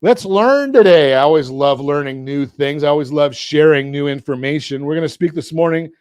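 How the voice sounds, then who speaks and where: Male radio host, higher pitch, dry close studio sound, energetic and jokey. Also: moderate pace, clean tone, no room sound. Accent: Southern Californian. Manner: energetic, jokey, asks leading questions. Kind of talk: talk radio with a sponsor read. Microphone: studio mic.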